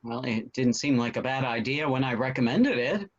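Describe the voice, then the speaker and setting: A man playing a cautious but kindly father of a grown son in a play reading, with hesitant, expressive delivery. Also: mid-range voice, normal speed, lightly acted. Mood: probing. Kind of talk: virtual table read of a stage comedy-drama. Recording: dull, lo-fi call audio.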